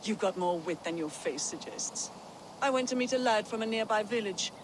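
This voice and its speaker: A woman's voice: mid-range voice, lively intonation, normal speed, noisy background, close to an everyday voice. A woman playing a proud, spiteful Viking shield-maiden in a video game, with scornful, formal speech. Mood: defiant, scornful. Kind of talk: video game cutscene acting.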